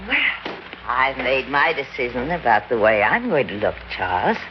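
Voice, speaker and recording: A scheming, coy seductress in a vintage radio play, with a very lively, swooping voice. The recording is muffled and full of hiss. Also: female voice, rumbling low, normal speed.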